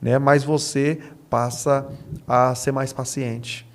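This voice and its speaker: A man's voice: medium pitch, flat delivery, slow pace. A man with a Brazilian accent, a pastor and podcast guest, speaking conversationally in short phrases with frequent check-in questions. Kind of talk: conversational testimony.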